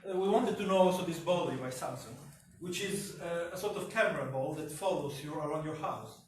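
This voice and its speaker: Higher-pitched male speaker in an echoey hall. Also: brisk, slight background noise. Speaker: researcher co-presenting at a symposium. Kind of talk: academic conference talk. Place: indoor symposium room. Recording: very echoey room, distant mic.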